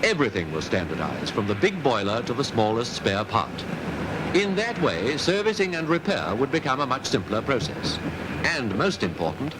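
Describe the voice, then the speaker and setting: A man's voice: mid-range voice, very animated, moderate pace, background noise. A man with a British Received Pronunciation accent narrating a 1950s newsreel in a formal, polished, emphatic way. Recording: vintage 1950s newsreel soundtrack with music. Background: music.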